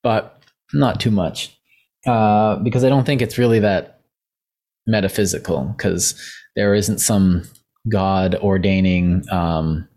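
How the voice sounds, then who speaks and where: A young man with a notably deep, low-pitched voice talks through ideas on a casual podcast. He is hoarse from losing his voice and rambles, thinking aloud with frequent fillers and restarts. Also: slow, darkish tone. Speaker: podcast co-host.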